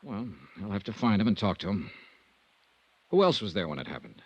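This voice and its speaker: An actor playing a persistent, dry American insurance investigator in a 1950s radio detective drama, asking clipped, businesslike questions. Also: male voice, lowish voice, very animated, brisk, no room sound. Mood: probing. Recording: dull, dry vintage radio recording.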